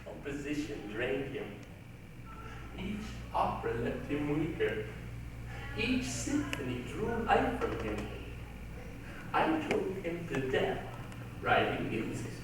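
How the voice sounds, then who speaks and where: A man playing a tormented, obsessive composer in a theatrical stage reading, drawing out his words and swelling into shouts. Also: on the high side, big pitch swings, heavily acted. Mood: haunted confession. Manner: dramatic, drawn-out, swelling to shouts. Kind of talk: theatrical readers' theatre monologue. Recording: echoey hall, distant mic, hissy old tape.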